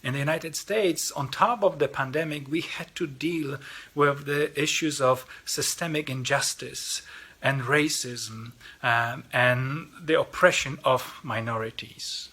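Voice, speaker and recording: A man's voice: mid-range voice, measured pace, bright, forceful tone, slightly rough. A man with a Polish accent, speaking for a lay missionary group in an earnest appeal to parishioners, often repeating words as he restarts. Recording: video message.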